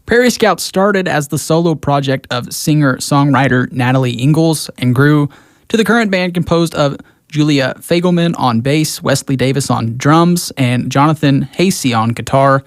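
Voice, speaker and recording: A man's voice: a little high, normal speed, dry recording. An American man presenting a local music show on the radio, speaking from a studio.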